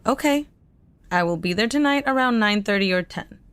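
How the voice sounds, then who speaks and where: A woman's voice: mid-range voice, lively intonation, moderate pace, fairly bright tone, smooth, no room sound, close to an everyday voice. An actress playing a concerned but polite mother in a sci-fi audio drama. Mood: subdued, polite. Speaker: actor in a full-cast audio drama.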